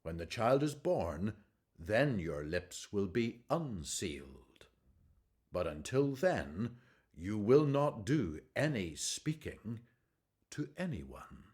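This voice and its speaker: An actor playing an angel, a measured and authoritative messenger of God, in a radio drama. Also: male voice, lowish voice, big pitch swings, a little mellow. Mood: stern. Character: angel Gabriel, calm, authoritative, stern male messenger of God.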